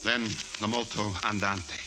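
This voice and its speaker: A man acts out a grandiose, unstable composer in a 1940s radio play. His voice is low and theatrical, full of rhapsodic phrasing and dramatic pauses. Music plays behind him on the vintage recording. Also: level tone, lightly acted, rough recording. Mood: rapt, grandiose. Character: grandiose, unstable male composer, feverish and doomed.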